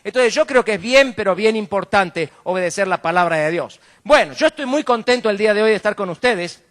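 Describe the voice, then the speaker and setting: A man's voice: high voice, animated, fairly quick, fairly bright tone. A man from Argentina with an Argentine accent preaching in a conversational, colloquial way, often tagging statements with rhetorical questions. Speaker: guest preacher. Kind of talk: conversational sermon with jokes.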